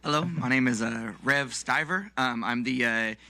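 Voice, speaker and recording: A man with a slightly raspy voice, worn from recent yelling, giving public comment as a nonprofit founder. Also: medium pitch, moderate pace. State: voice recovering from yelling. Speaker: nonprofit dance organization founder. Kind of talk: public comment at a council meeting.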